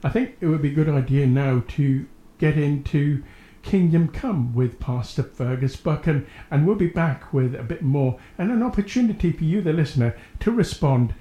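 Mellow male host in an echoing room. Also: fairly high pitch, normal speed, few pauses, lo-fi sound. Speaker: host of a religious radio programme. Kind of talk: reflective faith discussion. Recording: roomy, echoing sound.

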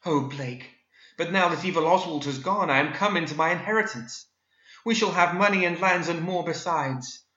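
A man voicing a swooning, gushing romance heroine in a melodramatic storybook, breathy and florid. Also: on the high side, moderate pace, bright, forceful tone, voice pushed up. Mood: rapturous joy. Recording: roomy, slightly dull recording.

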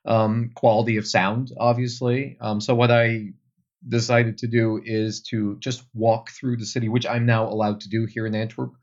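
Flemish man, Dutch native, deeper voice, measured and filler-heavy, dull recording. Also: little pitch movement. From Belgium. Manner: thoughtful, many um fillers. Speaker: tour guide guest. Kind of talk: casual interview answers.

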